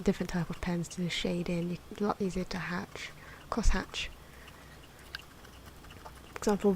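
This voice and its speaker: A soft-spoken woman giving ASMR drawing commentary, often slipping into a breathy whisper, with hesitant, unhurried phrasing. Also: on the low side. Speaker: ASMR video creator narrating own drawing. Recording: noisy, pencil scratching and ambient sound. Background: pencil and pen noises, outdoor wind.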